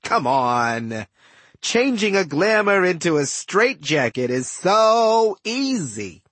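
A man's voice: high-pitched, sing-song, takes it slowly, a bit bright, dry recording, heavily acted. A man voicing a smug villain magician in an audiobook, glib, chatty and condescending with mock friendliness. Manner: glib, condescending, casual wise-guy patter. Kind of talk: audiobook character dialogue.

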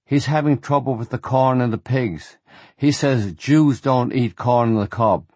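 A man's voice: medium pitch, measured pace, darkish tone, no echo, pitched above the performer's own voice, natural voice. A man voicing a large, guileless teenage boy who talks earnestly and artlessly.

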